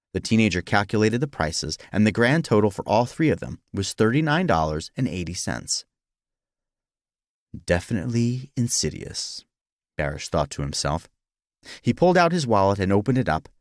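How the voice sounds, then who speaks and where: A man narrating a scripted story in an expressive, dramatic manner, as for an audiobook. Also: fairly low pitch, dry recording, clean studio sound.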